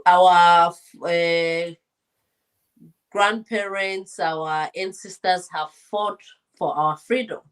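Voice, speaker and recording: Low-voiced earnest woman guest. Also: fairly even intonation, very slow, clean tone. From Congo. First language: Swahili. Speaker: interview guest, politician and former translator. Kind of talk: conversational interview.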